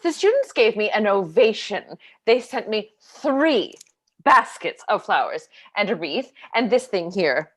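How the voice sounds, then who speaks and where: An actress playing a vain, lively aging stage star and mother, theatrical and self-satisfied, in a play reading over a video call. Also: medium pitch, measured pace. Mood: boastful, delighted. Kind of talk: cold read of a classic stage play.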